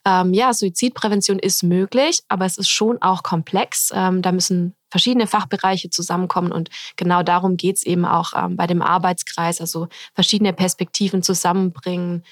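A woman's voice: lowish voice, fairly even intonation, moderate pace, few pauses, dry recording, studio quality. A woman, a health scientist, explaining her research in a podcast interview, thoughtful with frequent hesitations.

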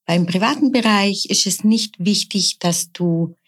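A middle-aged woman, a nurse, explaining her practice in calm, measured interview answers. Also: medium pitch. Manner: calm, measured, often slow and deliberate. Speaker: nurse and sound bowl practitioner.

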